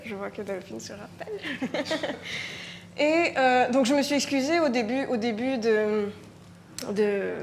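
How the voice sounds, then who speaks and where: Hesitant Mauritian woman, slightly high voice. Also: a little hiss or hum. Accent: Mauritian French. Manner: hesitant, often restarting and repeating words. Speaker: writer on a panel. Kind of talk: reflective talk at a live conference.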